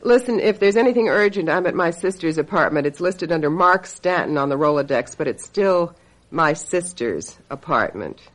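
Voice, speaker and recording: Hard-nosed female agent, radio drama, sardonic, brisk, embittered, very animated intonation. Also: deep voice, low-quality recording. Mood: breezy, wry. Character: sharp-tongued, embittered female talent agent, secretly a witch.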